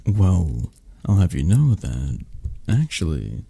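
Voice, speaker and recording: Casting: tender, possessive mafia lover , acted by a man for ASMR roleplay; dark mellow tone, lively intonation, breathy gravelly murmur. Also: deep voice, slow, dry recording, full character voice. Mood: teasing, gentle. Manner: soft, hesitant, murmuring, breathy. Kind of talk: intimate boyfriend-roleplay ASMR.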